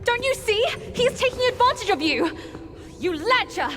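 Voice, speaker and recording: An actress playing an earnest young daughter of a demon family in a video game, impassioned, pleading and formal. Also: female voice, extremely high pitch, very animated, normal speed. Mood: indignant, desperate. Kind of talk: dramatic video game cutscene acting. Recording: long hall reverb over music.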